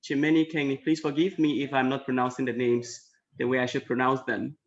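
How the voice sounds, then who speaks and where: A man's voice: mid-range voice, little pitch movement, a little fast. A man works as moderator of an online conference, calling on speakers politely and apologizing for his pronunciation. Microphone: video call. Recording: dull lo-fi call audio.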